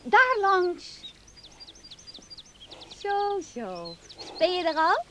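A taunting young woman in a radio drama, goading and playful. Her voice is very high and swings freely up and down, with faint outdoor birdsong behind it. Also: wildly swooping pitch, extremely slow, a bit bright, slight background noise, full character voice. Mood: teasing, gleeful. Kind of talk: radio play acting.

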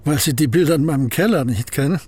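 A curt, guarded old painter. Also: male voice, a little high, quick pace, a little mellow, slightly rough. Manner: terse, clipped replies. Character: aging reclusive male painter, curt and guarded. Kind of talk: radio drama acting.

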